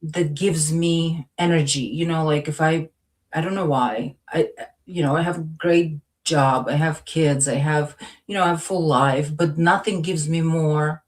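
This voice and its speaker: Woman guest from Latvia. Low voice, unassuming, feels for her words in informal personal talk. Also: fairly even intonation, unhurried. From Latvia, then part of the Soviet Union. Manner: modest, hesitant, searching for words. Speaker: interview guest. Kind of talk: casual personal conversation.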